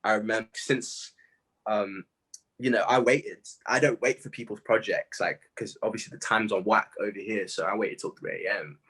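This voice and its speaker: A man in his twenties with a husky voice, a guest artist chatting casually and telling stories in animated slang. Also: on the low side, moderate pace. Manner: animated slangy storytelling, quoting own reactions. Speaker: interview guest, music artist. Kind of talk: casual chat.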